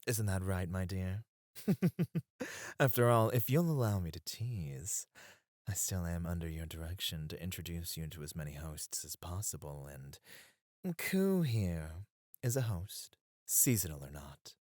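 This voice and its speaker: A man's voice: sits low, expressive, measured pace, a little mellow, no echo, clean studio sound. A man playing a flirty, doting and cheekily possessive club host in an ASMR roleplay, suave and coaxing. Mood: flirty, teasing. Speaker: voice actor.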